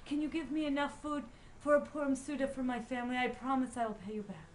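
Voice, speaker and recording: A woman voicing a once-gloomy poor father, now overflowing with joy, in a told story, with earnest, drawn-out exclamations. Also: very high-pitched, fairly even intonation. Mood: earnest pleading.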